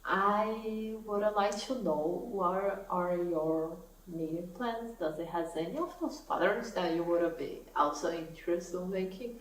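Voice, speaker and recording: A young woman speaks English, slowly and thoughtfully, in an easy vlog chat. She is recorded from a little way off the mic, in an echoey room with a faint hiss underneath. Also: fairly low pitch, recorded from a distance. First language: Portuguese. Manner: soft-spoken, thoughtful, laughs often. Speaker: knitting vlogger. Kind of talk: casual chat to camera.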